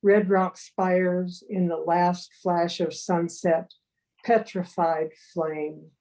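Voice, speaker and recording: Woman, haiku poet, slightly low pitch, soft dark tone, casual remarks and verse reading. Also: flat delivery, takes it slowly.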